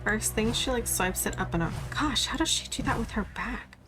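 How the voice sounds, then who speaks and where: A woman's voice: fairly high pitch, moderate pace. A woman streaming a game, giving casual, playful live commentary and reacting aloud. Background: game music.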